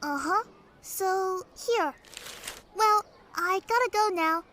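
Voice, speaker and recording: A woman's voice: extremely high pitch, slow, noisy background. A woman playing a chirpy, matter-of-fact small child in an old-time radio drama.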